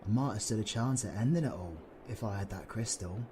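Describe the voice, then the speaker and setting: A British man playing a cynical, sardonic supervillain with dark powers in an audio roleplay, sweary, mocking and brooding. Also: fairly low pitch, a little fast, slight background noise, natural voice.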